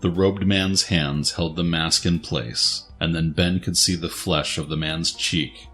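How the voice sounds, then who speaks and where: A man's voice: low voice, steady tone, unhurried, fairly bright tone, soft background music. A man narrating a scripted dramatic story as the narrator of an audio drama.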